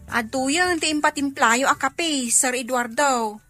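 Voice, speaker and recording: A woman's voice: high voice, unhurried, bright, forceful tone, soft background music. A woman with an Ilocano accent acting a polite, humble young woman in a radio drama, deferential and earnest. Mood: dutiful.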